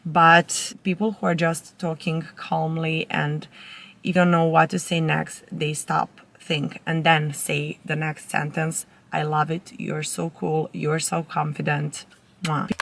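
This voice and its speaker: A woman's voice: deep voice, little pitch movement, slow pace, vocal fry, fairly bright tone. A young woman, a native Serbian speaker with a Serbian accent, chatting casually to camera in an informal, rambling way as a vlogger. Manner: informal, rambling with self-corrections.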